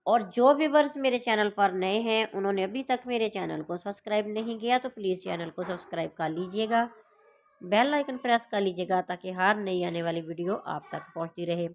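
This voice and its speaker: A woman's voice: mid-range voice, fairly quick. A woman with a sore throat casually narrates a recipe step by step, addressing her viewers directly as a home cook hosting her channel. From Pakistan. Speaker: home cooking channel host.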